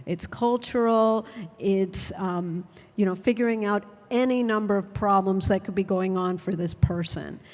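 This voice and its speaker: Woman, federal defense panelist, earnest, filler-heavy, mid-pitched, long hall reverb. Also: a little slow, darkish tone. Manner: earnest, thoughtful, with frequent fillers. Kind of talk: panel discussion answer. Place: conference hall.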